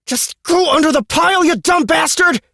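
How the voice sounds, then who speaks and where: A male narrator plays a desperate, exasperated man in a fantasy audiobook fight. He shouts his words with strain, pitched well above an easy talking voice, in a close, dry recording. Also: moderate pace, creaky, dry recording, full character voice. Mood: shouting, exasperated. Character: frantic, exasperated man fighting a giant rat. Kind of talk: fantasy audiobook character acting.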